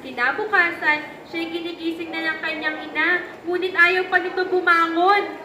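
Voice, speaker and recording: A woman's voice: very high voice, steady tone, normal speed. A young woman narrating a puppet show for children, reading a scripted story. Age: young adult. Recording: echoey room with background noise.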